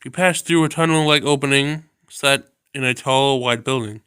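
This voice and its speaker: Man calmly reading a visual novel. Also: mid-range voice, moderate pace, clean tone, dry recording, studio quality. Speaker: video game narrator.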